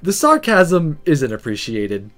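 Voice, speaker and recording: A man acts out a sultry woman who teaches magic in a visual novel. The voice is soft and composed, gently instructing with calm authority, over faint music. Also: fairly high pitch, slow, full character voice.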